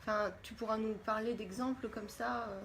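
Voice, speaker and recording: A woman's voice: a little high, steady tone, moderate pace, creaky, soft background music. A woman hosting a casual live-stream talk, chatty and greeting her viewers. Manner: chatty, warm greetings to viewers, many fillers. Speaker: host. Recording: faint background noise.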